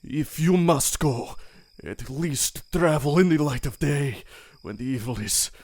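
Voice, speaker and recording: An actor playing a fearful, superstitious village innkeeper who pleads in a hushed, grave voice. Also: male voice, on the high side, unhurried, dry recording. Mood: pleading. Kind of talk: audio drama acting.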